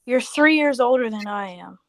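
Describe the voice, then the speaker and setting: A woman's voice: a little high, animated, normal speed, no room sound, studio quality. A woman acting a blunt, practical friend of thirty-three in a 1940s style radio play, incredulous and matter-of-fact.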